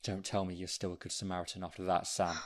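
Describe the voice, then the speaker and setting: A man's voice: low voice, level tone, fast, heavy background noise, natural voice. A man playing a cynical, domineering character who takes control in a crisis, blunt and commanding, in an audio drama. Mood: cold, cynical.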